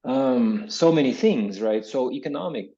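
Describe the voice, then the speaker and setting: A man's voice: mid-range voice, expressive, moderate pace, warm tone, lo-fi sound. A man in his forties from Kazakhstan, an academic guest answering interview questions in a reflective, explanatory way, often checking in with "right?". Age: 40s. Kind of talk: conversational interview answers with personal recollection.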